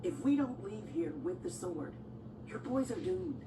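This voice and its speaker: A woman playing an urgent female manticore, a mythical beast, in an animated film. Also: high-pitched, a little slow, distant mic, very noisy, heavily acted. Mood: urgent warning. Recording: echoey film clip under loud music.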